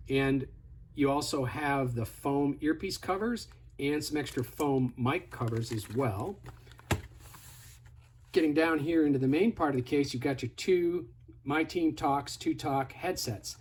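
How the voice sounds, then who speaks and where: A man's voice: mid-range voice, a little mellow, a little hiss or hum. A man giving a casual, hands-on product tutorial in a conversational, step-by-step instructive way. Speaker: product demonstrator.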